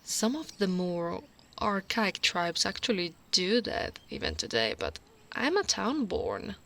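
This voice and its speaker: An Italian woman playing a tall, devoted female orc royal guard in an ASMR roleplay, speaking softly and intimately as if to the one listener she loves. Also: mid-range voice, sing-song, exaggerated voice. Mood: soft, confiding. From Italy. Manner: slow, intimate, often dropping to a whisper.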